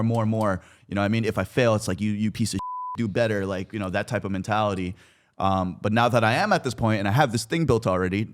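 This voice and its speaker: A man in his twenties talking candidly and informally as a guest about his personal life, with slang and some swearing. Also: lowish voice. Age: 20s. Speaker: podcast guest, content creator.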